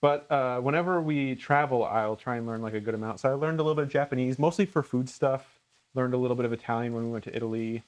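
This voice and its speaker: A man's voice: medium pitch, fast talker. An American man hosting a cooking channel, answering questions in casual chat with an earnest, self-deprecating way of talking. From rural Minnesota, United States.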